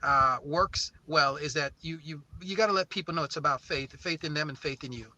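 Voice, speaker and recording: Bright, forceful man, earnest interview guest. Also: a little high, brisk, muffled recording. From born in St. Croix, raised in New Orleans. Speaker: guest, recording artist and label co-founder. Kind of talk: conversational interview, storytelling.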